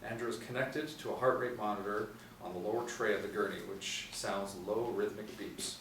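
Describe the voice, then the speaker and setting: A man's voice: fairly low pitch, steady tone, a little fast, fairly bright tone. A man in his forties reading screenplay stage directions as scripted narration. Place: small indoor room, seated. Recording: roomy, distant mic, faint hiss.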